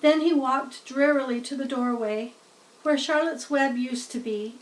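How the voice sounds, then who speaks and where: A woman reads a children's story aloud in a high voice. Her pace is slow and measured, and she sounds a bit distant from the microphone. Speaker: storybook reader.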